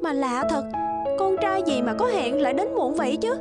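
A woman voicing an anxious, judgmental young single woman in an animated drama, with an expressive, hesitant delivery. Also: high voice, moderate pace. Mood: wistful. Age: young adult. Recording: studio voice over background music.

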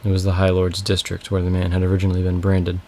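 A young man with a raspy voice, reading a fantasy novel aloud as a home audiobook from his bedroom. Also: deep voice, a little hiss or hum. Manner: slow, casual intro then steady reading. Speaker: amateur audiobook reader. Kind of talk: audiobook narration.

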